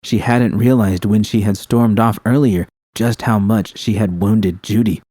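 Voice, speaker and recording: A man's voice: on the low side, steady tone, measured pace, mellow, no room sound, studio quality. A man reading scripted fiction as the narrator.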